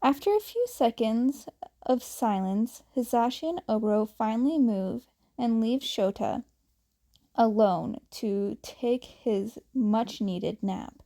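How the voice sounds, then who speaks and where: Slow, mellow woman reading fanfiction aloud. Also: a little high. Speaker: podfic reader. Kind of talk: fan audiobook narration.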